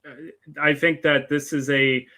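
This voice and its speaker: A man giving a casual film review as a guest critic, speaking in a hesitant, halting way. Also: a little high, level tone, bright, forceful tone.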